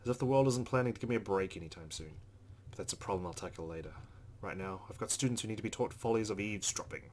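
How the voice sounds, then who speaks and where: A man's voice: on the low side, quick pace, darkish tone, a little hiss or hum. A man hosting a let's play, reading visual novel narration aloud and adding casual commentary. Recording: game music behind the voice. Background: game music.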